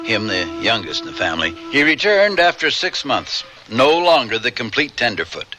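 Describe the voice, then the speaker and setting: A man's voice: medium pitch, sing-song, normal speed, bright, forceful tone, soft background music, rough recording. A man playing the storyteller who narrates a radio western. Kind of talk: scripted radio drama narration.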